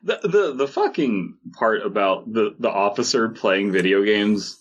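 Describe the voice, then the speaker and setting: A man's voice: fairly low pitch, animated, measured pace, a little hiss or hum. A man co-hosting a podcast, bantering casually with sweary mock rants through a dull, muffled microphone. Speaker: podcast co-host.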